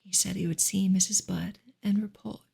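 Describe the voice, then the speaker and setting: A woman reads narrative prose in a hushed ASMR style. Her whisper is extremely breathy and airy, with a strong crackly, fried grain. The delivery is gentle, measured and unhurried. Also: mid-range voice, steady tone. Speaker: ASMR reader of a classic novel. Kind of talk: whispered ASMR audiobook reading.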